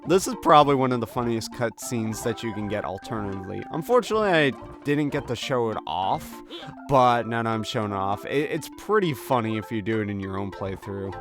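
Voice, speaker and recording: Lively man doing casual game commentary. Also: fairly low pitch, a little fast, music in the background. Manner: jokey, conversational, slips into mock dialogue. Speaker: gaming video host.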